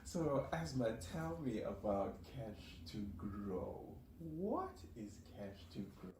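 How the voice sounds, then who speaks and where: A man's voice: medium pitch, big pitch swings, slow, a little mellow. Guy hosting a podcast interview, roomy echo, sits back from the mic, bit of noise. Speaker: podcast host and journalist. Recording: echoey room, distant mic, faint noise.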